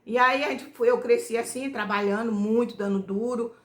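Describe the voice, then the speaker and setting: A woman from Bahia, telling her family story to her channel in a loose, homespun way. She keeps checking in with "né?" as she goes. Her voice sits a little high, and the room around her echoes. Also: steady tone, moderate pace. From Bahia, Brazil. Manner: homespun, rambling, tags nearly every phrase with "né?". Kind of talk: casual storytelling to viewers.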